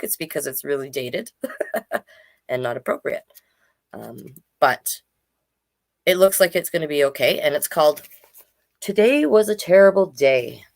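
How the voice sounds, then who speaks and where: A woman hosting a storytime, reading aloud to children and chatting to listeners with questions and asides. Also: low-pitched. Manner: conversational, addresses listeners with questions.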